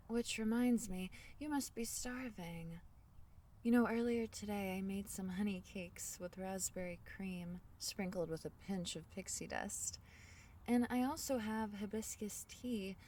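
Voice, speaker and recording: A woman playing a small, shy, kind forest fairy in an intimate ASMR fantasy roleplay, speaking softly and hesitantly. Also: mid-range voice, distant mic. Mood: friendly, bashful. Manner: soft-spoken, gentle, hesitant, breaking into whispers.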